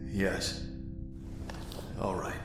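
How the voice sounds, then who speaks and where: A man acting a guilt-ridden leader in an audio drama, speaking in a grave, halting, remorseful way. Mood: quiet remorse. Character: guilt-ridden male leader ending a romance. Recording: echoey room with loud guitar music.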